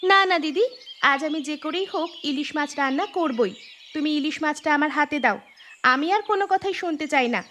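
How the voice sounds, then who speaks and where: A woman's voice: sits high, normal speed, bright, forceful tone, a little hiss or hum. A woman voicing an eager, stubborn young daughter-in-law in a cartoon, with an insistent, exaggerated delivery. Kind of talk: Bengali cartoon storytelling voice acting.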